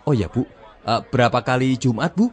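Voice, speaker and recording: A man's voice: medium pitch, expressive, fairly quick, a little mellow, close to an everyday voice. A man playing a cheeky, brash café helper in a radio drama, teasing the guests informally. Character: cheeky male café helper handing out lunch vouchers.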